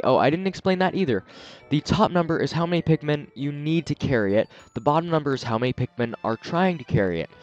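Slightly raspy man explaining a game. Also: medium pitch, a little mellow, muffled recording. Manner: chatty, explains as he plays, talks to his game characters. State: slightly raspy, scratchy sore throat. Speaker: let's play host. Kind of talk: casual gameplay commentary.

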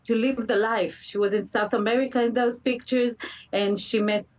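A middle-aged woman with an Israeli accent speaks haltingly and earnestly in an interview, repeating words for emphasis. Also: on the high side, steady tone, recorded from a distance. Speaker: interview guest, mother of a hostage. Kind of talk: emotional live TV interview. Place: indoor room, live remote video link. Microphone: computer video call.

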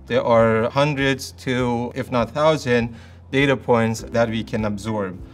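Middle-aged man, mid-pitch, technical interviewee, soft music bed. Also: fairly even intonation, slow pace. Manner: technical, hesitant, many uh fillers. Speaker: company expert. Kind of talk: corporate interview. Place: office interview set, indoors.

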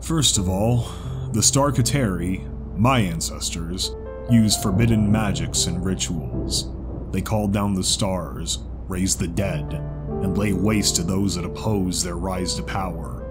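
Slow, low-voiced soothing zebra played by a man. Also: loud music behind the voice. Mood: solemn, soothing. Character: calm, weary male zebra, soothing storyteller.

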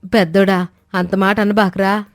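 A woman voicing a kindly, anxious older mother-in-law, soothing and pleading as peacemaker in an animated moral story. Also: mid-range voice, unhurried, dry recording, soft background music, natural voice.

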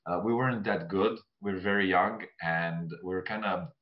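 A man's voice: sits low, normal speed. A young man with a Croatian accent hosting a casual podcast interview over a video call, chatty with many ums and uhs. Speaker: podcast host. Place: room at home. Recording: echoey room, dull lo-fi sound.

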